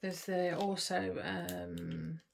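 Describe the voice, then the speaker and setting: A woman's voice: fairly low pitch, level tone, extremely slow, gravelly, a little hiss or hum. A woman chatting casually to camera while she works on a craft kit, murmuring and trailing off, a little sniffly. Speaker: craft vlogger.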